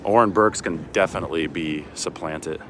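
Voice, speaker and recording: A man's voice: sits low, normal speed, a bit bright. An American man in a casual livestream, chatting and rambling through asides as he moves about outdoors. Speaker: sports reporter hosting a livestream. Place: outdoors on city streets by a river at dawn. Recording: outdoor city ambience with traffic noise.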